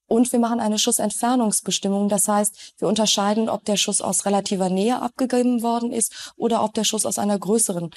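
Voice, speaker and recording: A woman's voice: medium pitch, darkish tone. A woman speaking as a forensic expert, explaining methodically and precisely in an interview.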